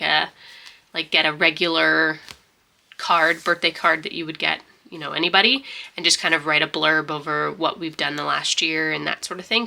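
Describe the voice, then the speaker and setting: Stuffy-voiced woman with gravelly fry. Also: deep voice, bright, forceful tone. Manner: rambling, chatty, many fillers and restarts. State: stuffy, congested from illness. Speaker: journaling vlogger. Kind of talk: casual flip-through narration.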